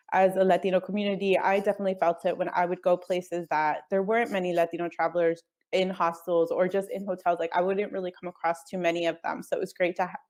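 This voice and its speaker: A woman who grew up speaking Spanish first, talking in an earnest, easygoing way as a guest on a call. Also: on the low side. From United States. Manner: earnest, easygoing, with soft laughs and hedges like "I guess". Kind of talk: casual interview chat.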